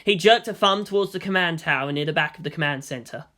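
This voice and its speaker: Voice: medium pitch, quick pace, pushed and bright. A narrator reading a story aloud in a dramatic, scripted audiobook style.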